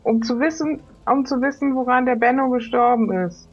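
A woman's voice: sits high, fairly even intonation, normal speed, muffled recording. A woman playing a polite, inquisitive crew member with a hesitant manner in an improvised role-play comedy. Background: faint music.

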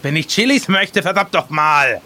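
A man's voice: fairly high pitch, animated, moderate pace, sharp tone. A man playing a cheeky, macho pirate with a terse manner in an improvised adventure audio play. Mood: gruff. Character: gruff, cheeky, manly pirate after hot chilis.

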